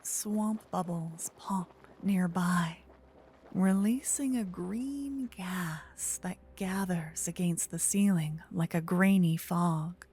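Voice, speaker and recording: Breathy woman reading a fantasy asmr story, real slow, kinda low, soft almost whispery, close mic. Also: a little hiss or hum. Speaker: ASMR roleplay narrator. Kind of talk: scripted second-person fantasy narration, ASMR. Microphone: close binaural microphone.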